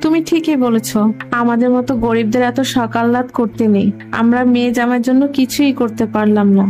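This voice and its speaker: A woman voicing a poor, fretful, caring village mother in a cartoon, with an expressive, homely, lamenting manner. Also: fairly high pitch, a little fast, soft background music, lightly acted. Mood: dismayed, resigned. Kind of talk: Bengali cartoon voice acting.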